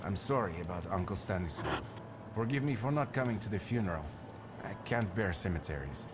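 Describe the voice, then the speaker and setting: An actor playing a reserved noble cousin with heart trouble, speaking in a dry, formal, subdued way in a game. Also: male voice, low-pitched, expressive, a little fast, noisy background.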